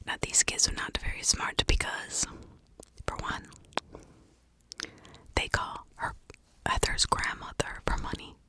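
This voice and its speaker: A woman's voice: a little slow, pauses often. Whispering American woman, ASMR storyteller. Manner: hushed, informal, conversational asides. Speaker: ASMR true crime storyteller. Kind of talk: whispered ASMR true crime narration.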